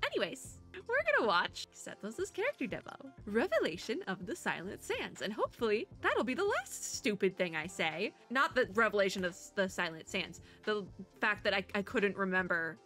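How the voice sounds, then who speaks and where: A woman's voice: medium pitch, very animated, moderate pace, pushed and bright, faint music behind. A woman streamer giving a casual, chatty reaction in a bubbly, rambling, self-deprecating way. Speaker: streamer reacting to a game trailer.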